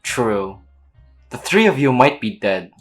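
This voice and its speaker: A woman's voice: a bass voice, big pitch swings, takes it slowly. A woman streaming a game with casual, slangy, teasing commentary, reading dialogue aloud. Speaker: gameplay streamer. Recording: game music behind the voice.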